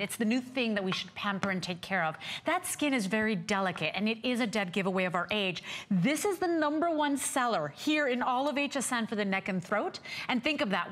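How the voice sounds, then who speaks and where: A woman hosting a shopping channel, upbeat and enthusiastic, firing off prices and quick agreement. Her voice is fairly high, and the sound is from a studio set. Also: fairly bright tone. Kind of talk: home shopping sales pitch.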